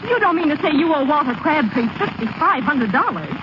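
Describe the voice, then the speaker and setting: High, lively, inquisitive woman. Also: brisk. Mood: concerned. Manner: teasing, inquisitive, coaxing. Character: breezy, curious young woman, a ball club's confidante. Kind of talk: 1930s radio acting. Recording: very noisy, muffled vintage radio recording.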